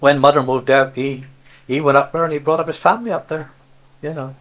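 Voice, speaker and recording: A man's voice: a little high, steady tone, brisk, fairly bright tone. An older man from Cornwall with a Cornish accent, reminiscing casually in rambling stories peppered with "see" and "you know". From Cornwall, England. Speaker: interviewee, local dialect speaker.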